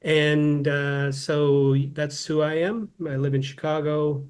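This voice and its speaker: A man's voice: fairly high pitch, fairly even intonation, slow pace, darkish tone, clear, resonant tone. A man in his fifties from Southern California, a philosophy professor speaking as a guest in a casual interview, thoughtful and often repeating words as he restarts.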